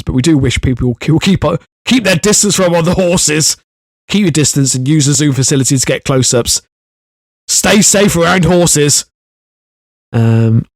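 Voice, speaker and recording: A man with a Welsh accent co-hosting a comic podcast, reading stories in a halting, giggly way. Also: medium pitch, darkish tone, no room sound, clean studio sound. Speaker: podcast co-host. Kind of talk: comedic news reading.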